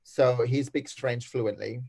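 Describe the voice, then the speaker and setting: A man's voice: mid-range voice, takes it slowly, gravelly, soft background music. Brazilian man telling travel stories. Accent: Brazilian Portuguese. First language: Portuguese. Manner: animated storyteller, laughs often, many restarts. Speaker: guest. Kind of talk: casual video call chat. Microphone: video call.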